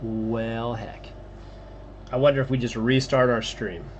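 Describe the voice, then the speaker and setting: Male streamer, mid-range voice, slow laid-back delivery. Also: mid-range voice, slight background noise. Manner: relaxed, talks to unheard co-hosts, halting. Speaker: livestream host drawing comics. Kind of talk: casual livestream chat.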